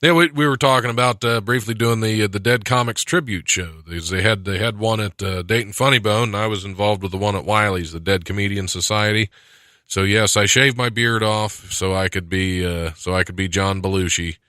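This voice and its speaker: American male radio host, sharp forward voice, rambling self-deprecating comic talk, dry close studio sound. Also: fairly low pitch, brisk, no echo. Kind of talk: casual comedy talk.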